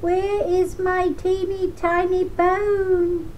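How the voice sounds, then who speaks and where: A woman acts out a tiny storybook ghost with a very high, insistent voice. It calls out slowly and demandingly, stretching its words, over some faint background noise. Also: flat delivery, full character voice. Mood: eerie, demanding. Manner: drawn-out, louder with each call.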